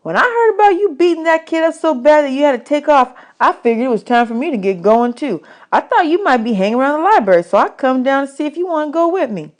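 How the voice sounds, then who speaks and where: A woman's voice: high voice, lively intonation, fast, few pauses, voice pushed up. A woman voicing an eager, streetwise runaway boy with folksy, slangy talk in a storybook reading. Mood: matter-of-fact.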